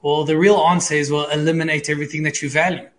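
A man's voice: a little high, level tone, fast talker. A man speaking as an expert psychologist guest, explaining conversationally and repeating key phrases for emphasis.

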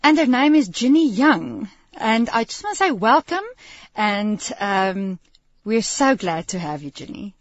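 A woman's voice: medium pitch, sing-song, measured pace, no echo, dull audio. A woman hosting a radio show, welcoming her guest and encouraging her to share her story. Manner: encouraging, affirming. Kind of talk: interviewing a guest. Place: radio studio.

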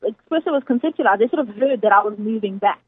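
A South African woman chef, a guest chatting casually in an interview, emphatic and quick to laugh. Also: mid-range voice, husky, no echo. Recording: dry phone-like radio line.

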